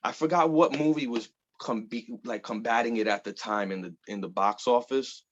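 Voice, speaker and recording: A man's voice: medium pitch, moderate pace, dull audio. A man in his thirties from the Bronx, chatting loosely as an interview guest, full of fillers and casual swearing. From the Bronx, New York. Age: 30s. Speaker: guest artist.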